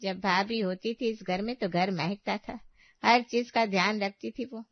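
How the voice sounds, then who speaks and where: A woman's voice: medium pitch, steady tone, a little slow, no echo, highs cut off, natural voice. A woman playing a meddling, disapproving middle-aged aunt in a family story, pointed and mock-sympathetic in manner. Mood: snide. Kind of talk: acted dialogue in a Hindi family story.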